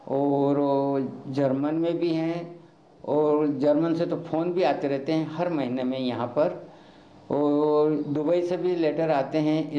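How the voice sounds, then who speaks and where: Priest guy answering interview questions, higher voice, roomy dull recording. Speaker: temple priest. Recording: echoey, muffled on-location interview.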